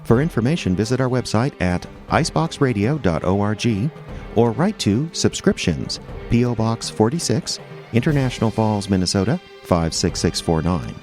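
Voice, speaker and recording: An actor playing a chipper old-time radio announcer, delivering sponsor pitches in an emphatic, mock-earnest sales style. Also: male voice, expressive, moderate pace, a little mellow, soft background music, natural voice. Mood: upbeat, selling.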